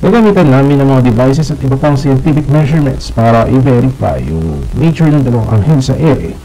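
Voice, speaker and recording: Man playing a husky, gravelly earnest scientist. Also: medium pitch, expressive, brisk, mellow, rough recording. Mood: earnest, convinced. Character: earnest male scientist turned believer. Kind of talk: mock news interview.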